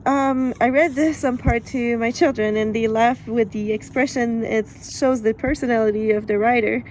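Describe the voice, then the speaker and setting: A woman's voice: on the high side, fairly even intonation, darkish tone, slight background noise, rough recording. A woman with a French accent reading aloud from a book and commenting on it, laughing often. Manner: laughs often, measured and deliberate.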